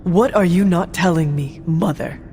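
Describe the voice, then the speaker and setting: A woman playing a stoic, resolute warrior in a video game cutscene, with a formal, measured, deadpan delivery. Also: lowish voice, slight background noise, natural voice. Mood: defiant.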